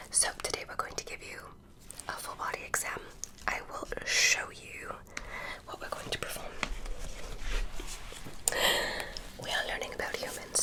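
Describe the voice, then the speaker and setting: A woman's voice: moderate pace, close to an everyday voice. A woman voicing a curious, polite female alien examiner, whispering through an ASMR roleplay.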